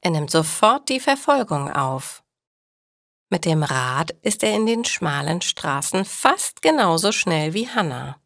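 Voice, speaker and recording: A woman reading scripted stories slowly and clearly for language learners. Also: lowish voice, very animated, fairly bright tone, no echo, studio quality. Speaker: narrator of German learning stories. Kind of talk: slow, clear scripted story narration for learners.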